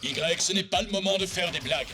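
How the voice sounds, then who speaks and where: A man voicing an excitable young robot hero who complains dramatically and is easily embarrassed, in a French cartoon dub. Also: sits high, a little fast, natural voice. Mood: panicked. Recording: loud music behind the voice.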